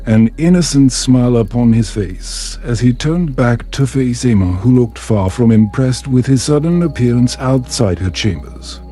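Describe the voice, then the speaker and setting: A man narrating a calm bedtime story in a measured, soothing storybook manner. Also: mid-range voice, soft background music.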